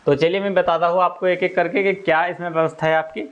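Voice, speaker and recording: A man with a slightly high voice talks as an exam coach. He explains things informally, point by point, and goes back over each one with frequent little checks for understanding. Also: little pitch movement, quick pace, smooth, lo-fi sound. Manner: repetitive, explanatory, often checks with "okay".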